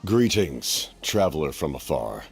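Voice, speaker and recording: Very deep, gravelly game schemer. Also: male voice, sing-song, soft background music, exaggerated voice. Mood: grave, formal. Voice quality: gravelly, husky. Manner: formal, measured. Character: grave, formal, commanding male schemer. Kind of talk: video game voice acting.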